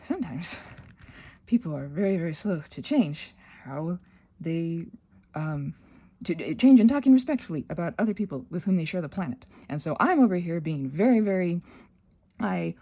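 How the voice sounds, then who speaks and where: Gravelly, low-voiced woman rambling while sick. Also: lively intonation, warm tone. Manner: rambling, halting, self-correcting with many trailing restarts. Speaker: host of a rambling audio channel. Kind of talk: casual unscripted ramble.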